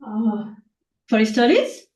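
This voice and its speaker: A woman's voice: fairly high pitch, sing-song, takes it slowly, a little mellow. A woman from Nepal introducing herself politely as a student on a class call. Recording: distant, echoey, dull call audio.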